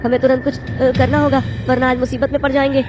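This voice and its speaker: A woman's voice: sits high, level tone, quick pace, background noise, voice pushed up, natural voice. A woman playing a frightened village man in a horror cartoon, speaking anxiously and urgently. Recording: music under the voice.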